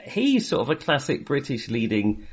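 A man's voice: medium pitch, wildly swooping pitch, normal speed, slightly rough. A man co-hosting a film podcast in casual discussion, hesitantly circling back and qualifying his points. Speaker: film review podcast co-host.

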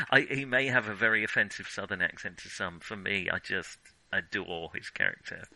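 A man co-hosting a casual film discussion, thinking aloud in a halting way and often backtracking as he goes. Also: fairly low pitch, fairly even intonation, vocal fry, pushed and bright, dry recording, rough recording. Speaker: podcast co-host.

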